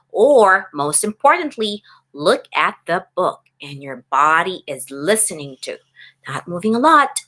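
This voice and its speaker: A woman pre-K teacher doing an expressive read-aloud for young children, instructive and addressing them with questions. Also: on the low side.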